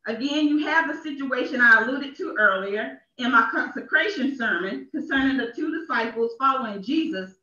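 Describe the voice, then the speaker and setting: High, bright woman preaching in echoey church. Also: lively intonation, moderate pace, few pauses, distant mic. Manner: emphatic, repeats phrases for effect. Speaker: minister. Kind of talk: sermon read with deliberate emphasis. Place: small church sanctuary. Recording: echoey, dull church livestream from off.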